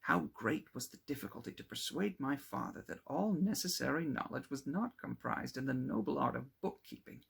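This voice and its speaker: A man's voice: mid-range voice, expressive, moderate pace, creaky, few pauses, a bit bright, slightly rough, pitched above the performer's own voice, full character voice. A man voicing a cheerful, eager young male friend in a storybook, effusive and affectionate. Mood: amused, chatty. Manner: exclamatory, effusive.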